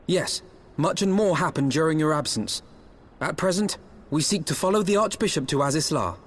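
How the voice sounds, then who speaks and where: Polite young lordling, higher male pitch, breathy-rough tone, earnest, formal, fantasy video game. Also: expressive, normal speed. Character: earnest, polite young nobleman, courteous and grave.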